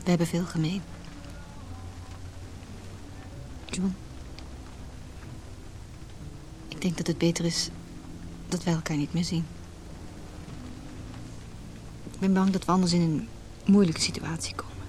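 A rather low-voiced woman played by an actress in a radio play, earnest and vulnerable. She is a married woman torn by forbidden love. Faint noise sits on the recording. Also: little pitch movement, fast, darkish tone, lightly acted, rough recording. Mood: gentle, wistful. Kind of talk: Dutch radio drama acting.

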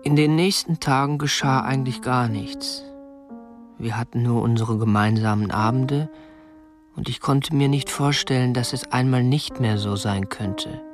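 A man's voice: mid-range voice, a little slow, faint music behind, close to an everyday voice. An actor playing a meek, stiff kidnapper in his twenties in a radio drama, obsessive and self-justifying, in a plain, subdued manner. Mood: matter-of-fact, self-justifying. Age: 20s. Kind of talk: radio drama acting, confessional first-person narration.

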